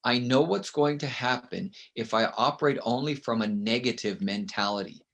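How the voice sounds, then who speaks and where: A middle-aged man from Canada with a loud voice, speaking as a guest in an interview. He is emphatic and tells stories, and he often ends his points with a quick "right?". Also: mid-range voice, vocal fry. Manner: emphatic, storytelling, with frequent "right?" tags. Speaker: guest author and consultant. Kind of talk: conversational interview.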